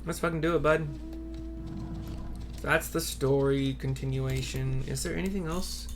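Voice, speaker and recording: A man's voice: medium pitch, steady tone, measured pace, soft background music. A man giving casual let's play commentary, slangy and profane, thinking aloud as he plays. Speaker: gaming video host.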